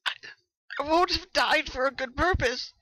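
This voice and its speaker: A narrator voicing a terrified, tortured young pony mare who pleads in a pained, halting, sobbing way in a horror story reading. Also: high voice, pushed and bright, no echo, voice pushed up. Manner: pained, frantic, halting sobs.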